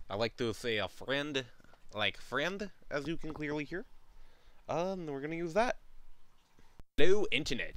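A man voicing a friendly foreigner cartoon character with a put-on foreign accent and halting delivery. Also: a little high, fairly bright tone, voice pushed up.

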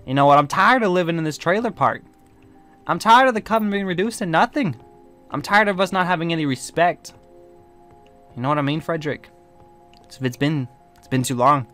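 A man's voice: mid-range voice, fairly even intonation, measured pace, pauses often, a bit bright, faint music behind, lightly acted. A man playing a bitter, proud, suspicious warlock leader in an amateur game roleplay, brooding dramatically and snapping back with sassy slang. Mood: bitter, frustrated.

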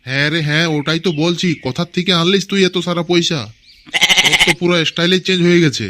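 A man's voice: fairly high pitch, quick pace, very noisy. A man voicing a foul-mouthed village father in a cartoon, grumbling and suspicious.

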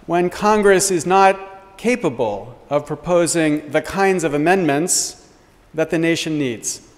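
American man lecturing in a reverberant hall. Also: a little high. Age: middle-aged. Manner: measured, deliberate, gestures while speaking at a lectern. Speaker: law professor giving opening remarks. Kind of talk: formal conference address. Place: wood-panelled lecture hall. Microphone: podium microphone.

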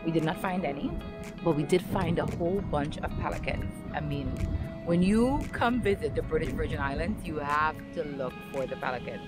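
A woman's voice: low voice, normal speed. A young woman hosting a travel vlog from a small boat at sea, talking chattily to viewers on a camera held at arm's length. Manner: bubbly, chatty, addressing viewers. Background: background music.

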